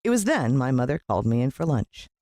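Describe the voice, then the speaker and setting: An American woman narrating a children's story in an expressive, dramatic storytelling manner. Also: a bass voice, fast, no room sound, studio quality. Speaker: audiobook narrator.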